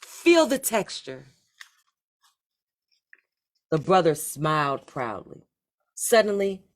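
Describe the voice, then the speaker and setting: A woman reading a children's story aloud in an expressive, deliberate storytelling manner. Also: low voice. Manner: animated, deliberate read-aloud delivery. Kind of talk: expressive scripted storybook narration.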